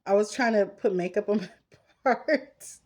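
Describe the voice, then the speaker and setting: A woman in her thirties talks in a casual, confessional vlog style. Her voice sits at a middle pitch. She is candid and conversational, speaking straight to the women watching as she gets ready. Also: measured pace, darkish tone. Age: 30s. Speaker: vlogger. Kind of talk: casual confessional chat while getting ready.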